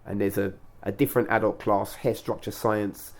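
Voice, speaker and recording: A man hosting a casual interview, speaking in a measured, earnest and affirming manner. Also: on the low side, little pitch movement. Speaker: radio show host and class organiser.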